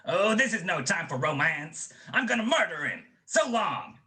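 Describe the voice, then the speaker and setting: A man's voice: high voice, very animated, moderate pace, pushed and bright, heavily acted. A man playing a hot-headed, bombastic young football bruiser in 1940s radio comedy style. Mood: furious.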